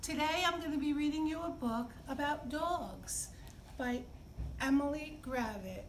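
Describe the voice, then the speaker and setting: A middle-aged woman hosting story time, speaking to young children in simple, expressive phrases. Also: high-pitched, unhurried. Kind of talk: read-aloud and talk for young children. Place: indoor library children's room. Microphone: camera a few feet away. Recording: distant camera mic, clear background noise.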